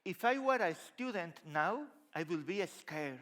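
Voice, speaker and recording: A middle-aged man from Spain with a Spanish accent, giving a lively conference talk on a large stage with a headset mic. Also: high-pitched, unhurried. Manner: lively, conversational, gesturing while walking the stage. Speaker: conference speaker.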